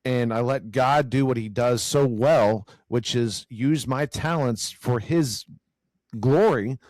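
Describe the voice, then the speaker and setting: A man with a growly, loud voice talks earnestly about faith, stumbling and repeating words as he gathers his thoughts. His pitch sits in the middle for a man. The recording is dry and close, with no room sound. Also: slow, no echo. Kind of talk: casual faith conversation.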